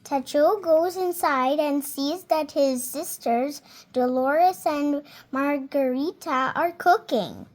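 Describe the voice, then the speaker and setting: A young reader slowly and carefully reading a picture book aloud, now and then stumbling on a word and fixing it. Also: female voice, sits high. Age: child. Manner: slow careful reading with small slips and self-corrections.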